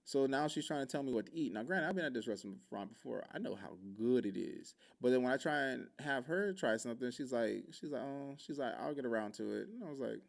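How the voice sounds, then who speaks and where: Gravelly man telling a lively story. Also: medium pitch, fast, few pauses. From Hawaii, Oahu. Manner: animated, acts out quotes in shifting voices. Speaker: podcast host. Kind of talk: casual storytelling.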